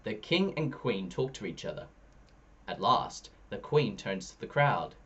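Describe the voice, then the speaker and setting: Deep-voiced man reading a children's story, very animated. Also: a little slow, muffled recording, a little hiss or hum. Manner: expressive, with pitch swings between narration and dialogue. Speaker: storybook reader. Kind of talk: children's story read-aloud.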